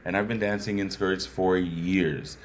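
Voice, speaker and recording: A man with a bassy voice talking as a guest, sharing personal stories earnestly and often checking in with "right?". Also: fairly even intonation, unhurried, slight background noise. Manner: earnest, thoughtful, frequent "right?" asides. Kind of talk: reflective conversational storytelling.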